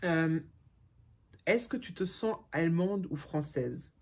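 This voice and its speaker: A woman's voice: on the low side, lively intonation, slow pace, a little mellow. A middle-aged woman from Germany chatting casually and frankly as a vlogger answering viewer questions, with many fillers and restarts. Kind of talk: casual chat.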